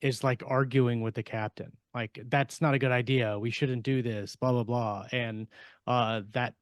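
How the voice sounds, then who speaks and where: A man co-hosting a podcast in a casual, conversational way. His voice sits at an ordinary middle pitch, and the sound is close and dry, with almost no room on it. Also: fairly even intonation, unhurried, dry recording. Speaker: podcast co-host. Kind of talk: casual panel discussion.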